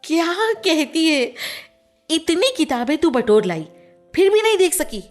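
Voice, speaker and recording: An actress playing a nosy, teasing young female friend in a radio play, with a lively theatrical manner. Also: very high-pitched, measured pace, soft background music, full character voice. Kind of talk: Hindi radio play acting.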